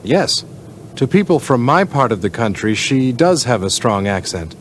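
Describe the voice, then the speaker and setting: A man's voice: mid-range voice, big pitch swings, moderate pace, slight background noise, natural voice. A man with an American accent playing a friendly, upbeat driver who explains things in a scripted English-learning radio drama. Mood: explanatory. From Northeast United States.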